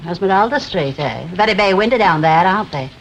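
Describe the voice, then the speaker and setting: A woman's voice: lowish voice, animated, a little fast. An actress playing a bossy, nosy older woman neighbour with a Lancashire accent and a brusque, gossipy delivery, in 1960s television soap style. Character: blunt, nosy, bossy older female neighbour and hall caretaker. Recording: old noisy 1960s television soundtrack.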